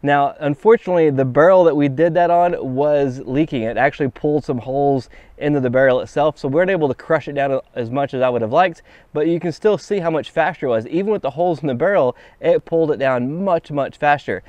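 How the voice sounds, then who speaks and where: A middle-aged man explaining HVAC ideas on camera outdoors, in a calm and patient teaching manner. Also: a little high, quick pace, no echo, lo-fi sound. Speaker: HVAC instructor. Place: outdoors on grass by a wooden fence.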